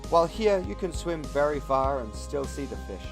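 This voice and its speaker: A man voicing a young blonde woman poet in a put-on Finnish accent, speaking slowly and casually. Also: a little high, expressive, over music. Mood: chatty. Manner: slow and casual.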